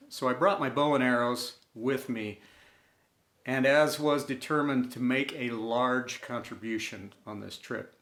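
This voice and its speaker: Slow-paced male storyteller in an echoey room. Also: medium pitch, fairly bright tone. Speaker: storyteller and host. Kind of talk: scripted personal narration, inspirational story. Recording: roomy, echoing room sound.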